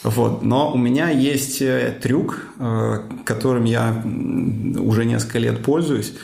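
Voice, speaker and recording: A man with a gravelly, creaky voice answers questions in a relaxed interview, thinking aloud and pausing often with fillers. The room around him is echoey. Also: medium pitch. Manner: thoughtful, many fillers and pauses. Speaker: conference speaker, cloud architect.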